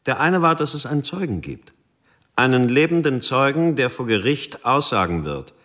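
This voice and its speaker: A man's voice: medium pitch, animated, moderate pace, fairly bright tone, lightly acted. An actor playing a confrontational male police detective who speaks emphatically and builds pressure. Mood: pressing. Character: sharp, confrontational male lead investigator. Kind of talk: radio drama acting.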